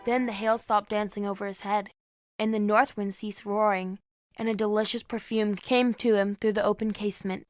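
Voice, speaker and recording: An actress playing a gentle young woman who reads a fairy tale aloud in an audio drama, measured and earnest. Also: medium pitch, little pitch movement, no room sound, clean studio sound, natural voice. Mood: earnest storytelling. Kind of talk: storybook narration in an audio drama. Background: music.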